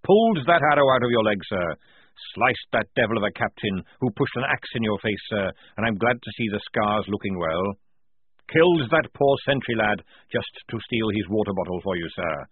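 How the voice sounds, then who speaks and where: A man barking as a gruff old army sergeant. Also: lowish voice, expressive, moderate pace, no room sound. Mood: roaring, defiant. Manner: barking, forceful, rough speech. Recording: dry, tinny phone-like sound.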